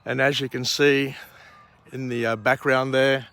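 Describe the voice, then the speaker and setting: A middle-aged Australian man with a croaky, gravelly voice, talking slowly and evenly at a fairly high pitch. He rambles with many pauses and fillers. He records outdoors on a handheld camera at sunrise. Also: level tone, a bit bright. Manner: slow, rambling, frequent uh and um. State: croaky voice after a night of drinking. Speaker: vlog host. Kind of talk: outdoor morning vlog and book summary.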